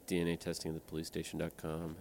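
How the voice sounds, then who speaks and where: Deep gravelly man over music. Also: completely flat delivery, very fast, a little mellow, slight background noise. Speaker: co-host. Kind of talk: comedic mock interview. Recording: music bed under the voice.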